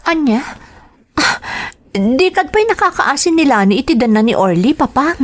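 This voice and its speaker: An actress playing a dutiful, long-suffering elder sister with an Ilocano accent in an emotional radio drama. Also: female voice, high-pitched, very animated, normal speed. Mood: alarmed.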